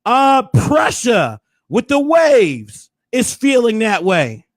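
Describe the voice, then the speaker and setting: Hoarse, high-voiced man hyping a recap. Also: wildly swooping pitch, slow, no room sound, studio quality. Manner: hype, preacher-like flourishes. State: hoarse, losing his voice. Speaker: host. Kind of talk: casual commentary recap.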